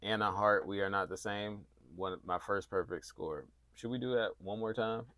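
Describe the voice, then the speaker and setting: A man from North Carolina hosting a music review livestream, chatting casually in a rambling, halting way and repeating words as he goes. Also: on the low side, bright, forceful tone, no echo. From North Carolina, United States.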